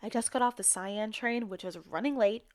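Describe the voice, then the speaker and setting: A woman's voice: medium pitch, normal speed, lightly acted. A woman playing a blunt, exasperated radio co-host in an audio drama, complaining in a sardonic way. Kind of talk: scripted audio drama dialogue.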